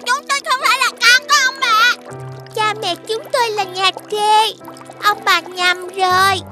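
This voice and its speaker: A performer voicing a cheeky, proud young tadpole child in an animated fairy tale. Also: very high voice, unhurried, over music. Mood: wary, defiant.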